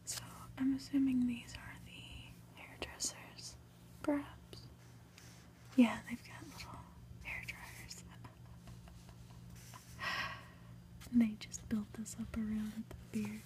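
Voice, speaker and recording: A woman reads a story in a soft ASMR whisper. Her delivery is slow and gentle, with a mellow, hushed tone and quiet asides. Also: a little high, steady tone. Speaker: ASMR reader and host.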